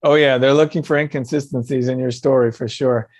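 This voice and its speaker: A man's voice: medium pitch, fairly even intonation, brisk, a little mellow, dry recording. A man hosting a conversational interview show, affirming his guest and feeling his way through his phrasing with some hesitation.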